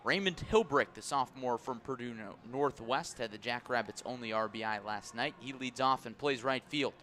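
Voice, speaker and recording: A man calling baseball live on the radio as play-by-play announcer, measured between pitches and excitable on big plays. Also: slight background noise. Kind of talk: live radio sports commentary.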